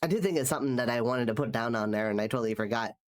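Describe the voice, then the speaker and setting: A man's voice: medium pitch, rapid-fire. A man live-streaming while drawing, chatting casually and rambling, restarting his sentences, sounding nasal from a cold. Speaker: streamer and artist.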